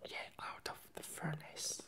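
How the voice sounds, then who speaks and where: An ASMR creator chatting casually in a breathy, unvoiced whisper, in a halting, rambling way with frequent self-corrections. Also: pushed and bright.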